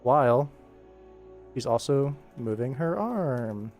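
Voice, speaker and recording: Male animator-streamer, mid-pitched, informal and explanatory, chatting to viewers with music underneath. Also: sing-song, slow pace, a little mellow. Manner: informal, addresses viewers, narrates actions with sound-effect words. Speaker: animator and livestream host. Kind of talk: casual work-stream chat with tutorial explanation.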